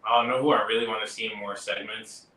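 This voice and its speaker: A man's voice: fairly low pitch, steady tone, fast, bright, forceful tone, echoey room, recorded from a distance, poor audio. A man speaking as a wrestling guest in casual chat, hesitant and searching for words.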